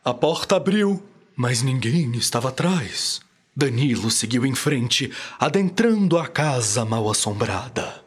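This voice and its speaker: A man's voice: medium pitch, expressive, a little slow, noisy background. A young Brazilian man narrating a scripted children's radio story in a dramatic storytelling style. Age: young adult. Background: music.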